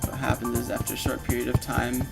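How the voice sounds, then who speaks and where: Male demo narrator, medium pitch, informal and explanatory, talking over prominent game music. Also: monotone, fast, vocal fry. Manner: informal, explanatory, addressing the listener as the player. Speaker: presenter of a video walkthrough, game sound designer. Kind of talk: casual walkthrough narration of a software demo. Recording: loud game music under the voice. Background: video game music and sound effects.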